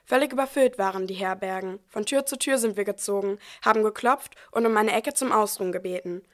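A woman's voice: medium pitch, a little fast, fairly bright tone, lightly acted. A woman playing a poor, weary expectant mother in a scripted nativity audio play, telling it simply and earnestly. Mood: weary, worried. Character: Mary, poor, weary, heavily pregnant young woman.

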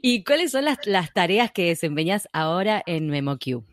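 A woman with an Argentine accent hosting an interview, chatty and quick to laugh. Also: on the low side, very animated, no room sound, clean studio sound. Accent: Argentine Spanish. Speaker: interview host. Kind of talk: casual interview chat.

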